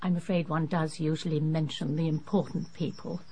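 A middle-aged English lady of the genteel sort in a radio drama. Her voice is slightly husky, and she chatters earnestly with an anxious edge. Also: sits low, flat delivery, normal speed, dark tone. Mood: relieved, chatty.

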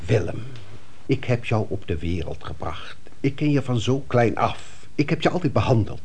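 A man's voice: medium pitch, animated, normal speed, noisy background, natural voice. A man playing a stern, paternal family doctor in a radio play, questioning brusquely. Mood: insistent.

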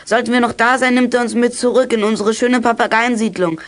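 A woman's voice: a little high, steady tone, brisk, natural voice. A woman playing an eager child detective who leads a club in a youth radio play. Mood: brisk, businesslike.

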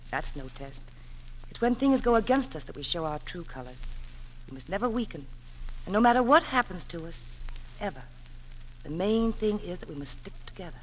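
An actress playing a gentle, resilient middle-aged mother, affectionate and composed, in a 1940s radio drama. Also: on the low side, close to an everyday voice. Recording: noisy old 1940s radio broadcast.

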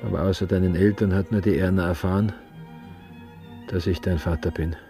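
A man's voice: sits low, steady tone, fairly quick, mellow, soft background music. An actor playing a bitter, world-weary older man of the theatre in a radio drama, colloquial and sardonic, with an Austrian accent. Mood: grim, confessing.

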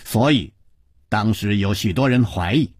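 A man's voice: fairly low pitch, no echo, studio quality, pitched below the performer's own voice. A man voicing a proud young woman disciple in a spoken story, explaining steadily and sneering. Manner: measured, then cold sneering.

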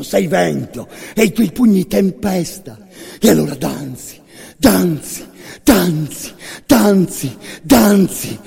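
A man narrating a radio theatre monologue, intense and incantatory, with repeated words and dramatic pauses. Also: high voice, vocal fry, dark tone, husky. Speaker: actor narrating a stage monologue. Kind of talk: dramatic second-person storytelling, radio theatre. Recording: long reverberant hall sound.

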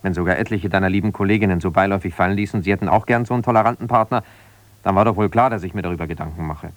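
Man acting aggrieved lawyer husband, lowish voice, self-justifying, colloquial, quarrelsome, naturalistic radio drama. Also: rapid-fire, no echo, close to an everyday voice. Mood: reproachful. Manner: argumentative, exasperated, colloquial. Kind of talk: naturalistic radio play, one side of a phone call.

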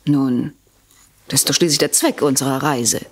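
An actress in a German radio play as a calculating wife who speaks softly and soothingly while coldly poisoning her husband, her voice husky and breathy. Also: rumbling low, normal speed. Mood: urging, businesslike. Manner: soft, coaxing, controlled.

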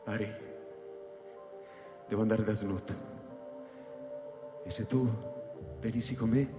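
An actor playing a haunted, lovestruck scientist who narrates a radio drama with intense, broken phrasing. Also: male voice, lowish voice, fairly even intonation, slow pace. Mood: grave, subdued. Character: haunted male scientist narrating, tormented and in love. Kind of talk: theatrical radio drama, first-person narration and dialogue. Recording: roomy, long hall reverb under music. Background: music.

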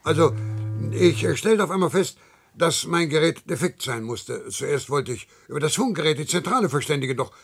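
An actor playing an earnest, gullible, duty-bound male citizen in a science-fiction radio play, hesitant and officious, with a husky voice. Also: on the high side, expressive, moderate pace, faint music behind, exaggerated voice. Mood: agitated, aggrieved.

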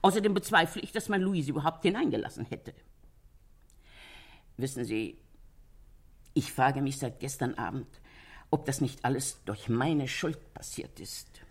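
A woman's voice: a bass voice, lively intonation, unhurried, pauses often, husky, full character voice. An actress playing a chatty, candid female witness in a German radio crime drama. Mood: chatty, confiding.

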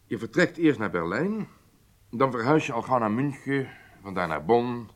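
An actor playing a commanding, matter-of-fact intelligence chief in a radio drama, speaking in a deliberate, explanatory way. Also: male voice, medium pitch, big pitch swings, close to an everyday voice. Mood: instructive. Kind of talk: Dutch radio drama acting.

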